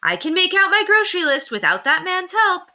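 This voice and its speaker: Woman acting an exasperated, sharp, high-pitched mother. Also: big pitch swings, brisk, heavily acted. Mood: exasperated, calling out. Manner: sharp, scolding. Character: exasperated, firm mother fed up with television. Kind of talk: children's storybook read-aloud.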